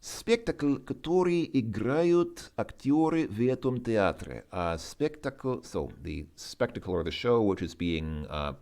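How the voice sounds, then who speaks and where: A man giving an informal language lesson, reading and translating aloud in a halting way as he thinks through each word. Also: medium pitch, animated, a little mellow. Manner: halting, thinking aloud, sounding out words slowly. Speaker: host working through a Russian lesson as a learner.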